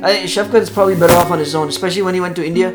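A man from Pakistan hosts a casual podcast chat, curious and eager as he raises questions. His voice sits a little high for a man. Guitar music plays clearly in the background. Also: steady tone, very fast.

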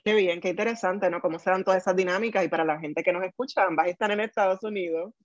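A woman's voice: on the low side, steady tone, fast, dull audio, slight background noise. A Puerto Rican woman hosting an interview, speaking thoughtfully and often checking in with tag questions. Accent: Puerto Rican Spanish. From Puerto Rico. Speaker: podcast host. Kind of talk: conversational interview.

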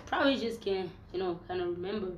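A woman with a flu-roughened voice, talking casually to her viewers in a rambling vlog, full of slang and repeated fillers. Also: mid-range voice, fast talker, noisy background. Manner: slangy, repeats fillers like "you know what I'm saying". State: hoarse from flu. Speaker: vlogger.

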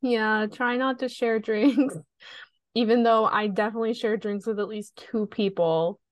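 A woman's voice: on the high side, fairly even intonation, unhurried, dry recording. A woman co-hosting a podcast in casual, informal chat, a bit sniffly. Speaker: podcast co-host.

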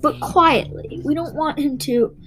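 A woman voicing a cautious, sensible young storybook girl, hushed but firm. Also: sits high, unhurried, music in the background, pitched above the performer's own voice.

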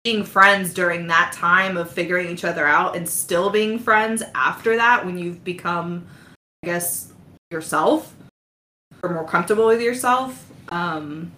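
A woman's voice: lowish voice, unhurried, bright, forceful tone. A woman in her thirties, a podcast guest in casual chat, earnest and agreeable with hesitant restarts. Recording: echoey room.

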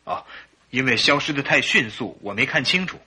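Radio drama as a mild, troubled husband. His voice is strikingly sharp and somewhat raspy, in a middle register, as he confides like a polite, uneasy gentleman. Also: mid-range voice, expressive, moderate pace, exaggerated voice. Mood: uneasy, recounting. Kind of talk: Mandarin radio drama acting.

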